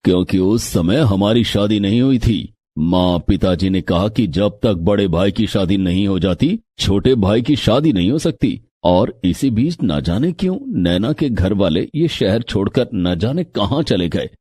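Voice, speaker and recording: An actor playing a serious husband and elder brother in a moral story, explaining things soberly. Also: male voice, fairly low pitch, lively intonation, unhurried, dark tone, slightly rough, no echo. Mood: grave. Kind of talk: Hindi moral story character acting.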